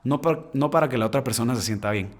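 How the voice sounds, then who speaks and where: Male podcast host, casual heartfelt monologue, slangy, soft-to-emphatic delivery, average pitch. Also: fast talker. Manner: earnest, slangy, addresses listeners directly; loudness swings between soft and emphatic.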